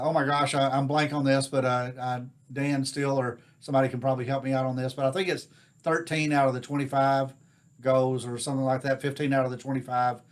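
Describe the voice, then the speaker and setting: An American man speaking informally as host of a virtual club meeting, giving announcements in a halting, rambling, folksy way. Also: medium pitch. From United States. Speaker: Rotary district governor hosting online club meeting. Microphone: video call.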